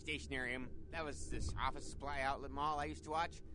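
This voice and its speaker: An actor playing a dim, rambling night security guard who talks eagerly and chuckles at himself. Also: male voice, medium pitch, steady tone, a little fast, sharp tone, slight background noise, lightly acted. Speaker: front desk security guard.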